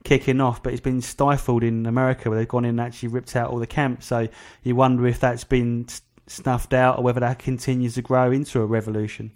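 A man's voice: mid-range voice, little pitch movement, brisk, hardly any pauses, darkish tone. Male talk-show interviewer, mid-range voice, informal and tentative, thinking through questions aloud. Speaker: host, interviewer. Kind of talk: casual radio interview.

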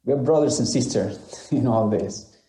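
A man from Honduras with a Honduran accent, a native Spanish speaker, giving personal testimony for a film in a halting, reflective way. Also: medium pitch, mellow. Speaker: interviewee in a charity film. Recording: echoey room sound.

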